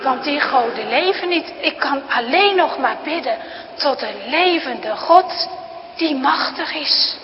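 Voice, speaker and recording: A woman's voice: high voice, animated, slow, in a large hall, lo-fi sound. A woman voicing a frightened but steadfast young girl who speaks earnestly and pleadingly.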